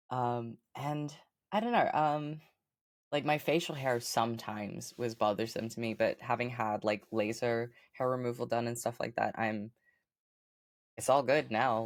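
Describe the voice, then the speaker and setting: A woman with a fairly deep voice, a podcast guest chatting casually and upbeat, with lots of ums and likes. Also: no echo, clean studio sound. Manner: chatty, upbeat, many fillers like um and like. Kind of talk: casual interview chat.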